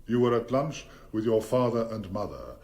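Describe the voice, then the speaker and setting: An actor playing a formidable English defence barrister in a radio drama, commanding, deliberate and rhetorical. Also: male voice, fairly low pitch, sing-song, gravelly, a little mellow. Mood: gently questioning.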